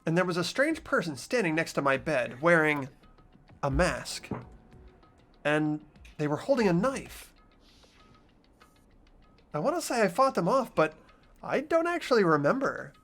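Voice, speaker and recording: An anxious high school boy as a performer acts him out narrates a game mystery in an earnest, hesitant voice of middling pitch. The delivery is dramatic and expressive, over music. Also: measured pace, pauses often. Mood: puzzled, anxious. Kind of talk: scripted visual novel narration. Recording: background music behind voice.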